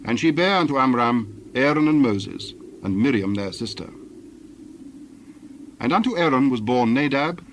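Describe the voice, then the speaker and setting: A man narrating dramatized scripture in a formal, measured recitation. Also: medium pitch, animated. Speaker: Bible narrator. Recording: clear ambient noise behind narration.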